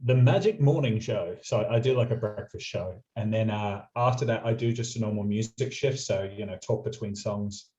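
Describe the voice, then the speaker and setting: A man's voice: lowish voice, warm tone. A young Australian man, a radio presenter, telling travel stories in a chatty, anecdotal way. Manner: quick anecdotal storyteller, chatty. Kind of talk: casual storytelling interview. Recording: muffled lo-fi audio.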